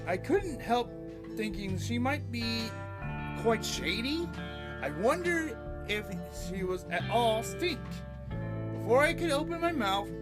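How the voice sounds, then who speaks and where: Expressive, slow-talking man over music. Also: extremely high pitch. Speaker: host and writer of an audio play podcast. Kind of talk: theatrical verse reading and podcast narration.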